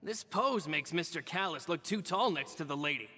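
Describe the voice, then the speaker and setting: A man's voice: a little high, lively intonation, moderate pace, sharp tone, breathy edge, full character voice. An actor playing a loyal, polite male henchman in a video game, with reverb on the voice. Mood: critical, considered.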